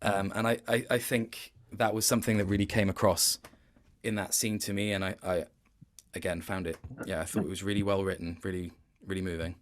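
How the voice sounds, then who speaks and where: A man with a fairly low-pitched voice speaks as a guest in a relaxed interview. He thinks aloud in a reflective, hesitant way, feeling his way through each thought. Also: fairly quick. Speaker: guest, game voice actor. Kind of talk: casual interview.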